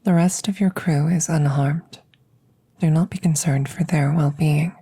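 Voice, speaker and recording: Low, soft-spoken alien scientist played by a woman. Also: fairly even intonation. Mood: calm, clinical. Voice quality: soft, breathy close whispering. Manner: measured, halting, formal, clinical phrasing. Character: female alien scientist, clinical, curious, calm examiner. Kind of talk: whispered ASMR audio roleplay. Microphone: close-up microphone.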